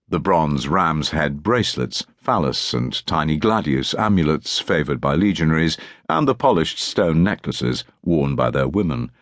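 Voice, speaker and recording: A man's voice: rumbling low, a bit bright, no echo, studio quality. A man reading historical fiction as a scripted audiobook narration.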